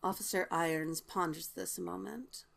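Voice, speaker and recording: A woman's voice: fairly low pitch, fairly even intonation, normal speed, gravelly, a bit bright. A woman reading stage directions as scripted narration for a table read.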